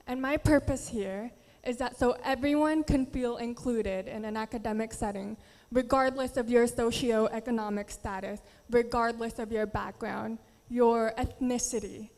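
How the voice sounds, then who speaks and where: A woman's voice: a little high, darkish tone, long reverb tail. A young woman from the Philippines, Tagalog her first language, giving an earnest prepared speech as a pageant contestant.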